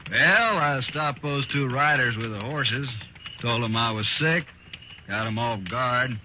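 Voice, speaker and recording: An actor playing a cocky, taunting renegade gunrunner in a 1950s radio western, mocking and folksy in manner. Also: male voice, mid-range voice, unhurried, lightly acted. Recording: noisy, muffled old radio broadcast.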